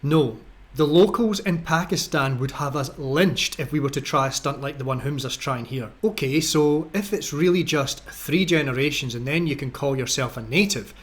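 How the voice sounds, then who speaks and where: A man's voice: fairly high pitch, moderate pace. A man with a Scottish accent delivering sarcastic, indignant political commentary as a channel host, in a mocking, ranting voice-over.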